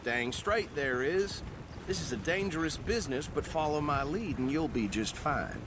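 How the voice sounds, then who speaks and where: An actor playing an earnest, self-important small-town deputy in a western game, folksy and talkative with a Southern accent. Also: male voice, fairly high pitch, lively intonation, moderate pace, close to an everyday voice. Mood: officious. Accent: American South. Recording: clear outdoor noise, animals.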